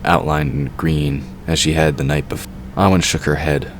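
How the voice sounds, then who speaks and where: A man's voice: extremely low pitch, steady tone, normal speed, slight background noise. A man narrating a fantasy audio drama from a script.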